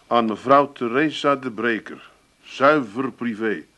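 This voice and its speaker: An actor playing a flustered, plaintive grown son with an Amsterdam accent in a radio comedy. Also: male voice, medium pitch, unhurried, pushed and bright. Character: hapless, whiny grown son, easily upset.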